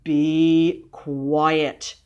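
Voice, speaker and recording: A woman's voice: deep voice, flat delivery, extremely slow, mellow, clean tone, highs cut off. A woman voicing an angry, blustering middle-aged father in a storybook, thundering with indignation. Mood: thundering anger.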